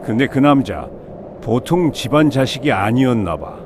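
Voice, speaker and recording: A man's voice: mid-range voice, expressive, moderate pace, close to an everyday voice. Guy doing old ex village chief in an audio drama, folksy and wistful, faint noise behind. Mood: wistful recounting. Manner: folksy, plain old-timer storytelling.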